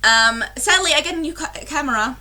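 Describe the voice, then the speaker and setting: A woman's voice: high-pitched, animated, brisk, pushed and bright. A young woman, chatting casually to her webcam as a reaction YouTuber, rambling and apologetic with many fillers and giggles. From Germany. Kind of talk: casual chat to camera.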